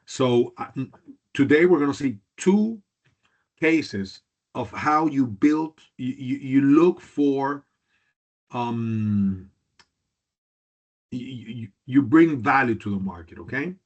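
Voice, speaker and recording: Halting male instructor on a muffled video call. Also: mid-range voice, lively intonation. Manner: halting, repeats words, drops into Spanish and Italian. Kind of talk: online class introductions.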